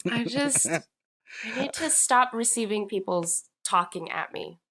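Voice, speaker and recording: Congested woman with a bright voice. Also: medium pitch, measured pace. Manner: animated, self-deprecating. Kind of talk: casual chat. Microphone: remote call mic.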